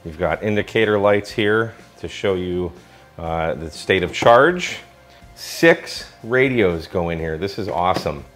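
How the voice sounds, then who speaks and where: Deep voiced american guy doing a casual gadget review, chatty and into it. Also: expressive, measured pace, a bit bright, soft background music. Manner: enthusiastic, colloquial. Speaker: product reviewer.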